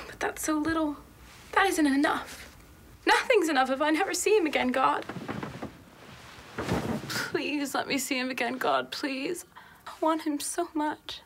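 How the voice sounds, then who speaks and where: A woman's voice: high-pitched, measured pace, long pauses, fairly bright tone, slight background noise. Woman playing an anxious young woman pleading. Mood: desperate pleading. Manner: pleading, swings between whispers and outbursts. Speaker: actress performing a monologue. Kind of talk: dramatic monologue.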